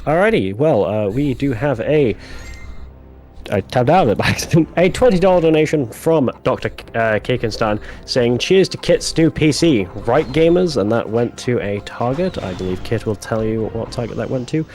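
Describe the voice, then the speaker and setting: A man hosts a live gaming stream in a casual, courteous way. He is slightly hesitant, with a middling pitch and quiet music underneath. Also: lively intonation, normal speed. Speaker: marathon host. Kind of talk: casual stream hosting, donation reading.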